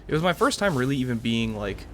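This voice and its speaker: A man's voice: medium pitch, sing-song, fast talker, a little hiss or hum. A young man giving casual let's-play commentary as a gaming video host, musing aloud in a rambling way over game music. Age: young adult.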